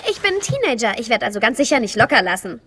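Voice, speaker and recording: An actress voicing a persistent, confident and caring teenage girl in an animated film dub. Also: fairly high pitch, quick pace, slight background noise. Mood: concerned, determined.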